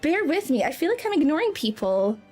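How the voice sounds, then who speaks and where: Bubbly high Scottish woman streamer. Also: sing-song, brisk, a little mellow, faint music behind. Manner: bubbly, giggly, effusive thanks. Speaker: VTuber streamer. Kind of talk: live-stream chat with viewers. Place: indoor home streaming setup.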